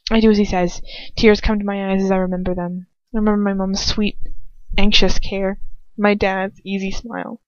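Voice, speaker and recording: A woman's voice: mid-range voice, little pitch movement, normal speed, no room sound. A woman reads a story aloud with dramatic feeling, in a mid-range voice. She sounds like an amateur audiobook reader, captured in a dull recording with very little room sound. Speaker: fan fiction reader. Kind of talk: expressive amateur audiobook narration with casual asides. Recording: dull, lo-fi, dry home recording.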